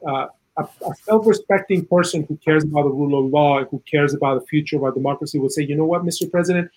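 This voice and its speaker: A man from South America giving earnest, opinionated political commentary in a conversational way, with a husky voice and plenty of "you know". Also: fairly high pitch, quick pace, a little mellow, poor audio. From South America, Chile. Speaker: interview guest, political and legal commentator.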